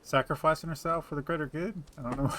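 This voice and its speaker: A man's voice: on the high side, fairly even intonation, fast, pushed and bright. A man in casual, informal chat while playing a game, with loose colloquial phrasing, on a slightly noisy mic. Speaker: gaming channel co-player. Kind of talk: casual chat during gameplay. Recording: faint background noise on mic.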